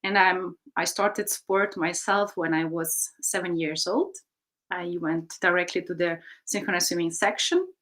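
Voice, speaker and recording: Woman coach, 30s, lower voice, thoughtful interview telling with many fillers. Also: unhurried, fairly bright tone. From Ukraine. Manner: reflective, frequent ums and you-knows. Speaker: artistic swimming coach. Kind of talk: interview storytelling.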